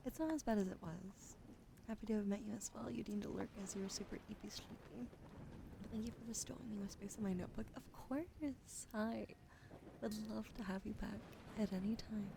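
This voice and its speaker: A woman's voice: mid-range voice, a little fast, vocal fry, noisy background. A young woman streamer with a wheezy throat, whispering in ASMR style, thanking viewers and swearing casually.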